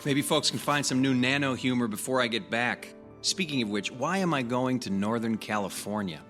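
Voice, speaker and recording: A man's voice: mid-range voice, moderate pace, a bit bright, faint music behind, lightly acted. An actor playing a defensive, wisecracking male hack reporter in a radio drama, sarcastic and grumbling.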